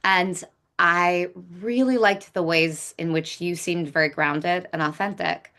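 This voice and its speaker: A woman's voice: fairly low pitch, a little slow, fairly bright tone. A woman hosting a casual interview, speaking informally and expressively and often interrupting her own phrasing. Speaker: podcast host, interviewer.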